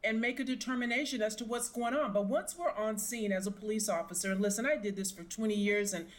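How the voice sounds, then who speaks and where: A woman's voice: mid-range voice, fast. A middle-aged woman, a retired police sergeant, giving emphatic, opinionated expert commentary in an interview.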